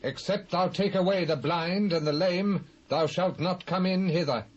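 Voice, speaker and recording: A man's voice: high voice, moderate pace. A British man playing a defiant, taunting city defender in a dramatised Bible reading, declamatory in delivery. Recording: dull, band-limited old cassette.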